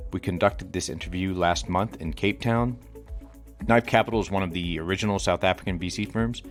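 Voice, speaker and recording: A man hosting a podcast, reading an upbeat intro and then asking questions loosely, laughing easily. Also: sits low. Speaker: podcast host, interviewer. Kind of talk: scripted intro, then casual interviewing.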